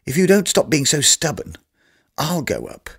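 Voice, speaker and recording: A man voicing a calm, scolding shopkeeper's wife. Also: a little high, moderate pace, gravelly, slightly rough, pitched above the performer's own voice, full character voice. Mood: dismissive. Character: calm, sharp-tongued wife of the coal merchant.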